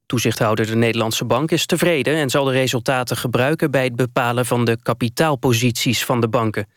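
A man's voice: medium pitch, brisk, fairly bright tone, no echo, clean studio sound. A man with a Netherlands Dutch accent reading a formal, scripted news bulletin as a radio newsreader.

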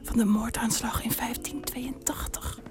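Woman playing a discreet lady-in-waiting, whispering, slightly high, faint music. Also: steady tone, unhurried, natural voice. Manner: hushed, whispering.

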